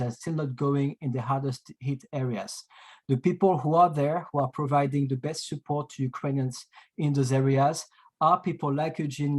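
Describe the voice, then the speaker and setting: French guy hosting a webinar on a video call, lots of uh and restarts, average pitch. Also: darkish tone. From France. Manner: halting, many fillers and restarts. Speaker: webinar host.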